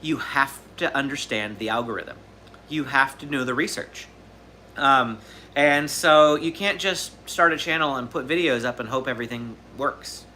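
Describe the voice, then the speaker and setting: A man's voice: medium pitch, moderate pace, bright, forceful tone, a little hiss or hum. A man in his thirties hosting a live question-and-answer stream, talking casually and rambling with fillers and restarts. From Southern California. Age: 30s. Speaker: livestream host.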